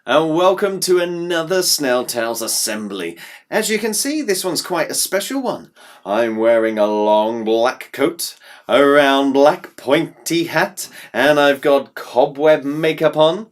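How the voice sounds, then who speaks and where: A man's voice: a little high, lively intonation, measured pace. A man from the United Kingdom introducing a school assembly, talking to children in a friendly, explanatory way. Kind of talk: addressing schoolchildren.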